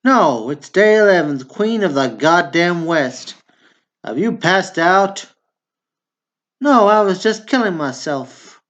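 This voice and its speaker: Guy playing a texan small-town brother in a play, slow blunt banter, kinda dull lo-fi sound. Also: sits high, lively intonation, a bit bright, heavily acted. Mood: drunk, wry. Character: two Texan brothers, a drunk mean war veteran and his brother. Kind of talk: stage play dialogue.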